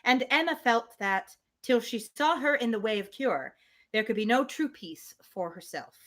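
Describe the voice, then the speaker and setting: A woman's voice: fairly high pitch, animated, moderate pace, fairly bright tone. A woman reading a classic novel aloud on a livestream, slipping into chatty asides to her listeners.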